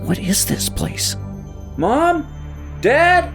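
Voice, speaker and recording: A man's voice: very high-pitched, very animated, extremely slow, music in the background, voice pushed up, exaggerated voice. A man voicing a frightened, bewildered young boy lost and panicky in an audiobook story. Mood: bewildered, anxious. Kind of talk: dramatic audiobook character voice.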